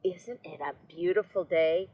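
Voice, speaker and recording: A woman's voice: lowish voice, a little slow, muffled recording, slight background noise, lightly acted. A woman voicing a cheerful, friendly female tumbleweed in a storybook, calling out to a neighbor.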